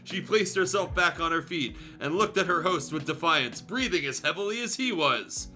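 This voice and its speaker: A man's voice: a little high, lively intonation, moderate pace, sharp tone. A man streaming a fan fiction reading, narrating the story with casual, irreverent, sweary asides. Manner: crude, joking, sweary. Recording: music behind the voice.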